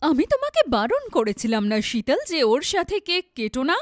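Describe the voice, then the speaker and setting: A woman as a stern, snobbish mother, higher-pitched. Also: wildly swooping pitch, normal speed, no room sound, studio quality. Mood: stern scolding. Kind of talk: cartoon story dubbing.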